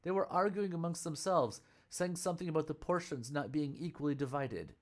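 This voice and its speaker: A man's voice: fairly high pitch, brisk, natural voice. Man playing an alarmed, exacting young attendant. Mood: scornful. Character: anxious young male temple attendant who keeps accounts.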